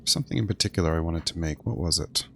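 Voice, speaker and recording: A man's voice: low-pitched, quick pace, a bit bright, a little hiss or hum. A man doing casual video game commentary as a streamer, muttering unhurriedly as he thinks aloud.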